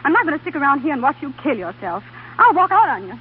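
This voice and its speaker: An actress playing a devoted, plucky, anxious young wife in an old-time radio drama, with a folksy, coaxing, fretful manner. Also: female voice, sits high, sing-song, fast talker. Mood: scolding. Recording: tinny, noisy vintage radio recording.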